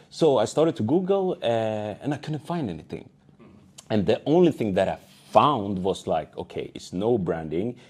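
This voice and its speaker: Man, young adult, from Sweden, low pitch, warm tone, storytelling with quoted dialogue. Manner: animated storytelling with quoted dialogue, frequent fillers. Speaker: entrepreneur, panel speaker. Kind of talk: telling his founder story.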